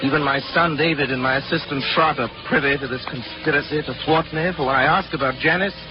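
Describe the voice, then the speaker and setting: A man's voice: a little high, fast talker, lightly acted. Man acting an obsessed scientist in old dull-sounding radio drama. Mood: grandiose, brooding. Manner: theatrical, grandiose, agitated. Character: obsessed, paranoid male scientist, middle-aged father, unravelling. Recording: dull 1940s radio recording with loud music.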